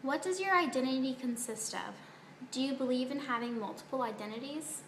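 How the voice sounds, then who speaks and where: A woman's voice: sits high, brisk. A woman interviewer putting prepared questions to a guest. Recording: echoey room, faint background noise.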